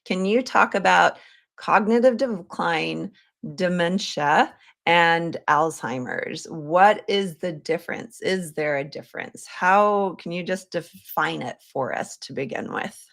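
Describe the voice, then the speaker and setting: A woman hosting a conversational interview, thinking aloud in a halting way as she forms her questions. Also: on the low side, gravelly, fairly bright tone. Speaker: podcast host.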